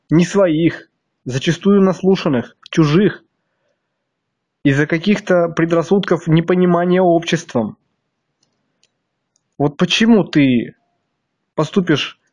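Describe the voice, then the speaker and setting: A man's voice: a little high, a little mellow. A man with a slightly stuffy nose delivering a blunt, crude monologue to his viewers, hammering them with rhetorical questions. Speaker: video blogger.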